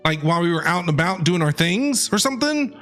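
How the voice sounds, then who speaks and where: Animated game streamer reacting live. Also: medium pitch, fast. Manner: excitable, talks back to the characters. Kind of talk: livestream reaction commentary.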